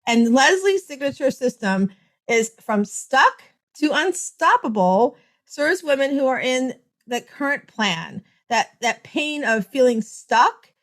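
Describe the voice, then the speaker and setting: A woman's voice: high-pitched, fairly bright tone. A woman hosting a podcast interview, upbeat and effusive as she welcomes her guest. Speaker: podcast host.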